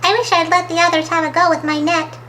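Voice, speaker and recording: A woman voicing Smudge's part as an eager, stubborn young female animal in a children's storybook, bright and childlike. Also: very high-pitched, moderate pace, slight background noise, voice pushed up, exaggerated voice. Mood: regretful.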